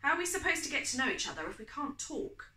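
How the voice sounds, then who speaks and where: A woman's voice: high-pitched, very animated, fast, pushed and bright, reverberant, pitched above the performer's own voice, full character voice. A woman voicing a confident, friendly male firefighter who flirts, teases and reassures in a storybook romance. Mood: teasing. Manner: teasing, reassuring. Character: confident, flirty, friendly tall fireman on a date.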